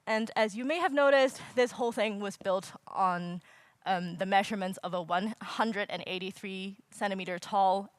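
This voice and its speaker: A woman's voice: medium pitch, moderate pace, no room sound. Young woman casually presenting a design talk. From Hong Kong. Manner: casual, self-deprecating, filler-heavy explaining. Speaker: conference speaker. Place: lecture hall stage. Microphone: handheld or stage mic.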